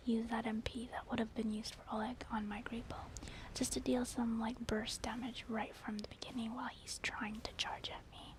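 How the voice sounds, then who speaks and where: A woman whispering soft-spoken ASMR commentary over a video game as the host. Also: on the high side, background noise.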